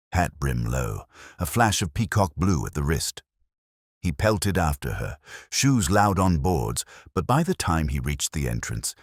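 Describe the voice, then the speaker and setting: A man reads a mystery story in an extremely low voice with a husky grain. His delivery is scripted, measured and dramatic, with lively rises and falls in pitch. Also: no echo, clean studio sound. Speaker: narrator of a detective mystery. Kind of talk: scripted literary audiobook-style narration.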